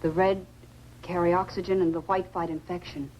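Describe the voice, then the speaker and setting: A woman's voice: on the low side, level tone, moderate pace, muffled recording, natural voice. Actress as serious, clinical woman doctor. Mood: clinical concern. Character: serious, precise female doctor and researcher. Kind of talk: 1950s science fiction film acting. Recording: noisy old 1950s film soundtrack.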